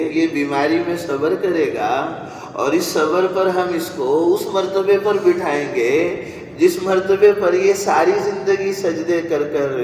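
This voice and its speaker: Slow-paced male preacher in an echoing hall. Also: on the high side. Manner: deliberate, with rhetorical repetition and pauses. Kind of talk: religious sermon. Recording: echoey hall from far off, noisy.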